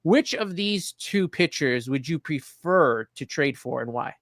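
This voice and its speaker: Male host, fairly high voice, close dry sound, organized presenter delivery for sports talk. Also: animated, a little slow, fairly bright tone, no echo. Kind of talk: sports talk hosting, reading chat and stats.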